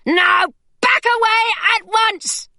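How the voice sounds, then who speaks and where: A defiant young heroine, as a woman voices her, high and bright with lively, sweeping intonation. She sounds spirited and fearless, and the recording is dry and close. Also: unhurried, dry recording, full character voice. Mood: fierce. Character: bold fierce young girl adventurer.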